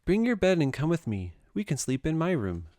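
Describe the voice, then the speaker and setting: Man reading as a friendly young turtle, lively pitch. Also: moderate pace, no echo, studio quality. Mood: caring. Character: friendly, caring young male turtle, eager to host.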